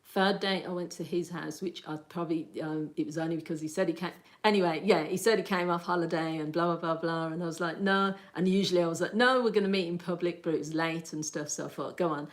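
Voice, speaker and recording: A woman's voice: on the low side, fairly even intonation, fast, hardly any pauses. A woman hosting a casual livestream, chatting at length and answering viewer comments with frequent laughter. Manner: chatty and rambling, laughs often. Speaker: livestream host.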